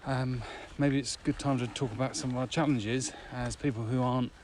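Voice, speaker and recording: A man in his fifties talks casually as a podcast co-host. His voice sits at a middling pitch, and there is clear outdoor noise behind him. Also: fairly even intonation, a little fast, a little mellow. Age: 50s. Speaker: podcast co-host, business owner. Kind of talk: casual conversational podcast chat. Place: outdoors at dusk. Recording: clearly noisy outdoor background.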